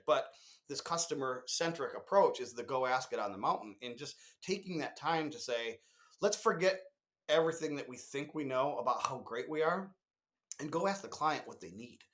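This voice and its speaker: A man's voice: medium pitch, animated, fairly quick, fairly bright tone. A man with a mid-range voice chats as co-host of a business podcast. He explains with emphasis and slips into imagined quotes to illustrate his points. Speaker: podcast co-host discussing marketing. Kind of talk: conversational business podcast discussion.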